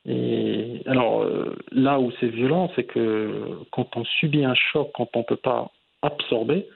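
A man in his forties giving measured, reflective answers as the guest in an interview. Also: mid-range voice, steady tone, gravelly, husky, phone-quality sound. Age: 40s.

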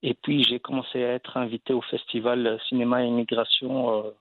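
A man's voice: mid-range voice, level tone, fairly quick, no room sound. A man from Belgium, an actor and guest, telling his story in a casual interview with many fillers and restarts. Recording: dry, phone-like line.

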